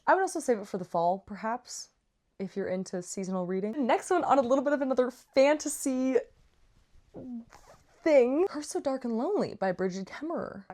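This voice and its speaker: A woman's voice: on the high side, lively intonation, a little fast, a little mellow. A woman giving a casual, chatty book review with slangy asides and playful, dramatic emphasis. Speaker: book reviewer, vlog host.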